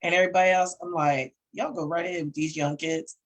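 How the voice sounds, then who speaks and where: A middle-aged woman co-hosting a casual conversation show. Her voice sits on the lower side for a woman. She banters and teases freely, often laughing as she talks. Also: quick pace. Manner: laughs often, teasing and expressive. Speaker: co-host, counseling coach. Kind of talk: casual podcast chat.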